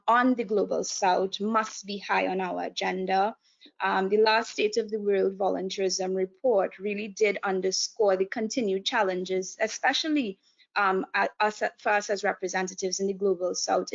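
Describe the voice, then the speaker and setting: A woman giving a formal webinar presentation as a panelist, measured, with frequent fillers and restarts. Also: medium pitch, creaky. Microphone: video call. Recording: dull video call audio.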